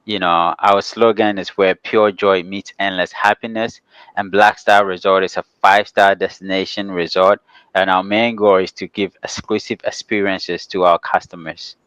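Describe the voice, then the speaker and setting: A man from Ghana who grew up partly in New York, explaining his work as a guest in a casual, halting way. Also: deep voice, fairly even intonation, fairly bright tone, clean tone, dry recording, lo-fi sound. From Ghana, later the Bronx, New York. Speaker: guest, real estate developer. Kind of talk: casual interview talk.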